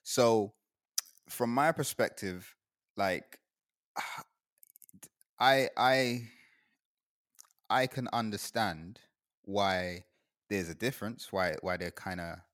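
A man's voice: lowish voice, very slow, long pauses, a bit bright, no echo, studio quality. A young man from Guyana in casual chat, rambling and laughing often as he talks.

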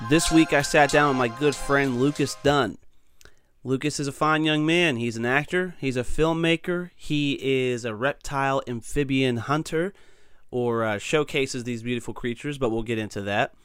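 A man hosting a casual podcast interview, chatty and friendly with a slightly raspy, tired voice. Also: mid-range voice, normal speed, fairly bright tone, no room sound, soft background music. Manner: friendly, chatty, laughs often. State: slightly raspy, losing his voice. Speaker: podcast host.

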